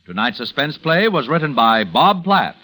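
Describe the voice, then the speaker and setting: A male radio announcer reads a crisp, formal closing announcement in 1940s style. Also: fairly high pitch, very animated, normal speed, sharp tone, rough recording. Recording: old radio broadcast over music.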